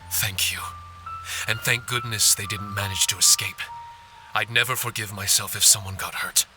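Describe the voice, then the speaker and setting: A man's voice: lowish voice, fairly even intonation, a little slow, pauses often, music in the background, close to an everyday voice. A man playing an earnest, apologetic amnesiac spirit guardian in a video game, speaking formally and politely. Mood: apologetic, relieved.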